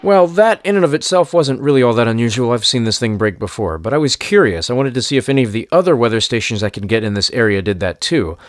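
A man's voice: medium pitch, expressive, quick pace, hardly any pauses, no room sound, studio quality. A man telling a story in a casual, chatty first-person style as host. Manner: chatty, conversational. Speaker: narrator and host.

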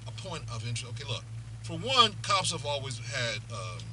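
A man's voice: mid-range voice, big pitch swings, a little fast, poor audio. An American man in his fifties chatting casually and emphatically while driving, acting out bits of dialogue as he tells stories. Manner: emphatic storytelling with acted-out dialogue. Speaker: driver talking with a passenger. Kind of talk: casual opinionated chat. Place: inside a moving car. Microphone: dashboard camera. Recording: loud car and road noise.

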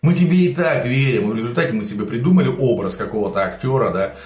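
A man's voice: mid-range voice, quick pace, a little mellow, reverberant. A man in his forties giving emotional, indignant political commentary to camera from a home studio, gesturing a lot as he talks. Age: 40s. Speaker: political video blogger. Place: home studio room with plants.

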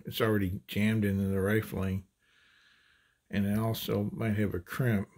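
A man with a husky, breathy voice talks slowly through a hands-on demonstration at an indoor table. His delivery is halting, full of fillers and restarts, and his voice sits a little low. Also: darkish tone. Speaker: handloading channel host.

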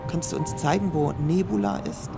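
A man's voice: a little high, moderate pace, gravelly, loud music behind the voice. A young man playing a cautious student who reads old notes aloud, in an audio drama. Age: young adult. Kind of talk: amateur audio drama acting.

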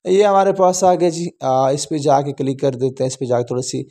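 A man's voice: medium pitch, fairly quick, warm tone. A man hosting a phone tutorial, chatting along with many fillers. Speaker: tutorial host. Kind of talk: phone screen-recording tutorial.

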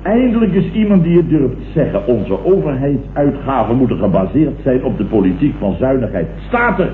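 An actor playing a pompous, blustering, self-satisfied boss who stammers and repeats himself in a vintage Dutch radio comedy. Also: male voice, medium pitch, very animated, moderate pace, lightly acted. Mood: pompous enthusiasm. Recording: muffled, echoey, hissy vintage radio recording.

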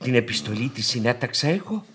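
An actor playing a pompous, nervy, easily flustered bank director in a theatrical radio drama. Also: male voice, medium pitch, sing-song, fairly bright tone, a little hiss or hum. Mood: self-satisfied. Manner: pompous, flustered, stammering pet exclamations. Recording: old radio theatre recording.

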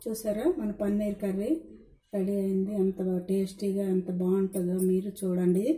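Mellow woman in a roomy kitchen. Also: mid-range voice, flat delivery, moderate pace. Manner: instructive, addresses viewers directly. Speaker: home cook presenting a recipe. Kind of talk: informal cooking demonstration. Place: indoor home kitchen at the stove. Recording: roomy kitchen sound with background noise.

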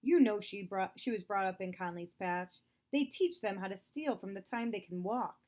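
A woman reading aloud, voicing a stern, domineering father who accuses a girl of theft, louder and higher than her narration. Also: moderate pace, natural voice.

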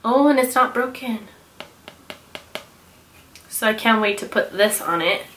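A woman's voice: on the high side, measured pace, a bit bright, a little hiss or hum. Chatty young woman, slightly nasal from a cold. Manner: chatty, rambling, self-correcting. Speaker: beauty vlogger. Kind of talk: casual haul video chat. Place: small bright bedroom with louvered closet doors.

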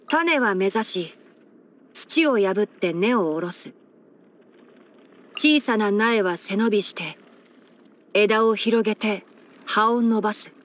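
A woman voicing a clever, gentle young male fox-eared ranger chief in a video game, with a light boyish tone and measured, softly wry, articulate delivery. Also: fairly high pitch. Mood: calm, solemn. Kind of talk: Japanese game character voice-over.

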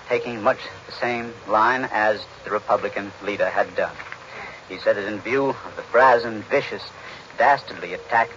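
An American man giving a measured, formal 1940s radio news report as an analyst. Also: on the low side. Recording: muffled, noisy 1940s radio recording.

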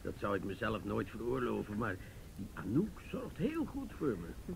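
An actor playing a jovial, flirtatious, patronising police chief in a radio drama, with an avuncular, stammering manner. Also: male voice, huge pitch swings, moderate pace, gravelly, heavily acted. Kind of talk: Dutch radio drama acting. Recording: rumbly radio play with faint noise.

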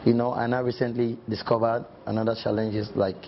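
A man's voice: medium pitch, little pitch movement, brisk. A young Nigerian man giving casual interview answers, often adding "you know" as he goes. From Delta State, Nigeria. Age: young adult. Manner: frequent "you know" asides. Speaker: singer and former rapper being interviewed. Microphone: clip-on lapel mic. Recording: dull sound with light background noise.

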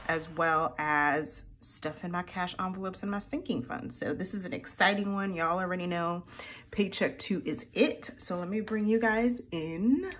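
A woman's voice: fairly low pitch, a little hiss or hum. A woman hosting a casual budget vlog, chatty and playful with slang, sounding groggy in the morning. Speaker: budgeting channel host. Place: home filming room, desk seen from above.

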